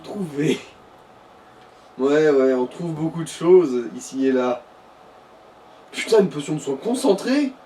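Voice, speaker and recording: Dude, fairly high mellow voice, far from the mic, explaining game stuff in slang. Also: male voice, expressive, slow pace, pauses often. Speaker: co-commentator. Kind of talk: casual gaming chat. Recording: distant mic with background noise.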